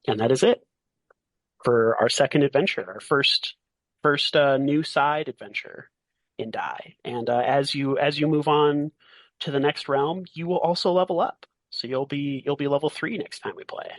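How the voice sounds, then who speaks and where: A man acting as game master, narrating a tabletop game in a casual way with a halting, deliberate delivery. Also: on the high side, dry recording, poor audio.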